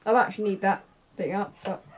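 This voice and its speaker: A woman's voice: mid-range voice, fairly even intonation, a little fast, slight background noise. A young woman gives an informal, unscripted hands-on tutorial in a hesitant, offhand way, trailing off into asides. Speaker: sound tech tutorial presenter.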